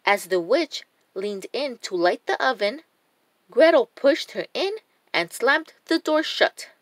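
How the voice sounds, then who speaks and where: A woman narrating a children's storybook in a deliberate, expressive reading style. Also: a little high, dry recording.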